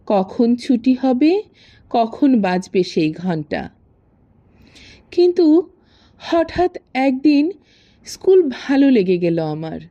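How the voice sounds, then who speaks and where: Slow, mellow woman reciting poetry, echoey. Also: mid-range voice. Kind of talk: expressive recitation of a romantic poem. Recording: muffled lo-fi recording with echo.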